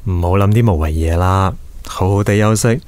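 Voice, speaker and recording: Actor as a caring low-voiced young man. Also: normal speed, darkish tone, smooth, natural voice. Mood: reassuring. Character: caring, attentive young male boss and love interest. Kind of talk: radio drama acting. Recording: background music under studio drama dialogue.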